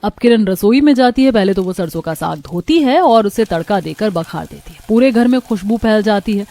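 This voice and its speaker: A woman narrating a cartoon moral story in an even storytelling manner. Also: mid-range voice, moderate pace. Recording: faint background music.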